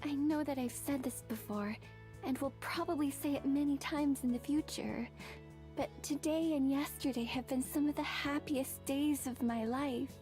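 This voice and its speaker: Woman doing shy lovestruck ghost girl, high soft mellow voice, delicate, visual novel. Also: moderate pace, faint music behind, natural voice. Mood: tender, wistful. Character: gentle, shy centuries-old girlish ghost in love.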